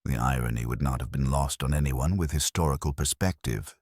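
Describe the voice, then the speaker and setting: A man's voice: extremely low pitch, fairly quick, darkish tone, dry recording, clean studio sound. A man playing a scholarly, disapproving town historian in an audio mystery, with formal, pointed speech. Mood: dryly disapproving.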